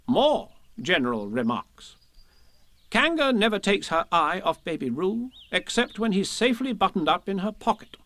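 A self-important rabbit from a children's tale. The voice is pitched high and swings about as it declaims its schemes with pompous, bossy briskness. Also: male voice, pushed and bright, no echo, heavily acted.

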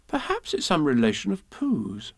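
A man voicing a calm, thoughtful young boy in a children's storybook, speaking in a considered, matter-of-fact way. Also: fairly high pitch, normal speed, creaky, husky, no echo, clean studio sound, pitched above the performer's own voice, full character voice. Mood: pondering.

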